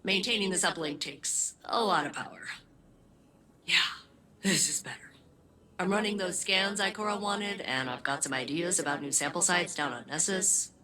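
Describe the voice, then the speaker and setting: A woman voicing a quirky, eager, helpful female ship AI in a video game, chirpy, robotic and expressive. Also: fairly low pitch, normal speed. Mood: flat, sincere.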